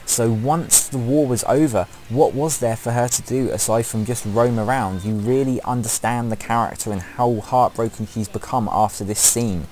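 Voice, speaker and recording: A man's voice: mid-range voice, moderate pace, hardly any pauses, rough recording. A man giving scripted opinion commentary as the presenter of a video game countdown. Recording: music behind the voice. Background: loud music.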